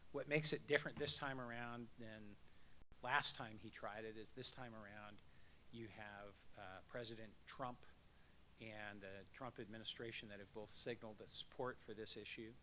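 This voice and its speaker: Bright-voiced man giving a wry policy update. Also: lowish voice, a little hiss or hum, low-quality recording. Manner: conversational, wry policy briefing. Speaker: association executive giving a legislative update. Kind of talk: conference speech.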